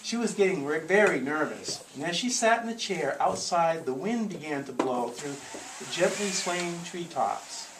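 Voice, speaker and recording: A man telling a story theatrically and deliberately to a group, with playful asides. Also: on the high side, expressive. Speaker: live storyteller. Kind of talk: dramatic spoken storytelling to a live group. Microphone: small consumer video camera in the room. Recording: echoey noisy room, consumer camcorder audio.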